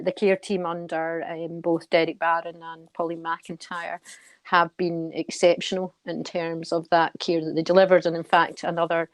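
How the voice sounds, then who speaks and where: A middle-aged woman answers radio interview questions in a low voice that stays very even. She is measured and earnest, often pausing to repeat a word before carrying on. The sound is dry and close, with little room around it. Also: no echo. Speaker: guest, care charity board member.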